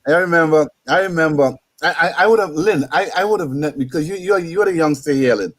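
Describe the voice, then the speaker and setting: Trinidadian man in his 50s, radio co-host, slightly high voice, stumbling storyteller. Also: fairly quick, background noise. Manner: storytelling, repeats words when starting sentences. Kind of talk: casual radio talk.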